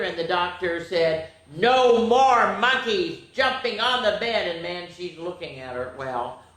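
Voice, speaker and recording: An older woman hosting a children's story time, reading nursery rhymes aloud in a sing-song, drawn-out way with chatty asides to her young listeners. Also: lowish voice, a bit bright. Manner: addresses young listeners directly. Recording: roomy, echoing room sound.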